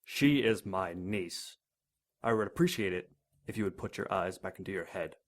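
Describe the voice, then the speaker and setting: A man's voice: low-pitched, lively intonation, moderate pace. A man playing an arrogant, wealthy older industrialist in an audio drama, clipped and imperious. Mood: haughty. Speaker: client.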